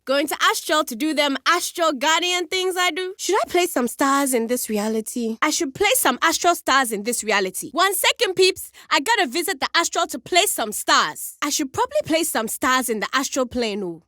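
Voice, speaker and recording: Playful cosmic game girl, high pitched, slangy n chill, super dry sound. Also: lively intonation, normal speed, few pauses, pushed and bright, dry recording. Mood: breezy, playful. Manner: slangy, laid-back, playful. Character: breezy, playful young woman with astral cosmic powers. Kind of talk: video game character voice lines.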